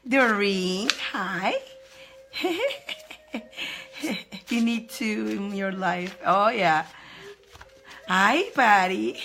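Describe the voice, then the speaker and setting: An upbeat woman hosting a live card-reading stream in a chatty, encouraging way, greeting viewers by name and laughing often. She talks at an ordinary middle pitch and repeats her phrases for emphasis. Soft music plays faintly behind her. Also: animated, extremely slow, creaky, long pauses.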